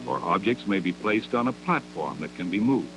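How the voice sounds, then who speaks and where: A man narrates a scripted 1960s educational film in a measured, didactic manner, with deliberate phrasing, on an old 16mm soundtrack. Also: deep voice, big pitch swings, music in the background.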